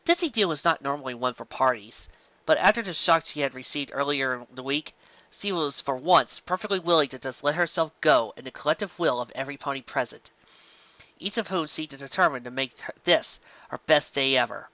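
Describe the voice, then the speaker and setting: A narrator reading a scripted story aloud in an animated storytelling style, on a slightly dull home recording. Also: medium pitch, a little fast, no room sound.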